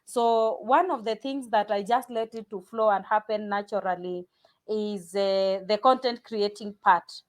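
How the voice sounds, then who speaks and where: A woman's voice: mid-range voice, steady tone, a little slow. A woman from Kenya, a pastor talking casually in an interview, often restarting sentences and repeating words. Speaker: pastor and guest.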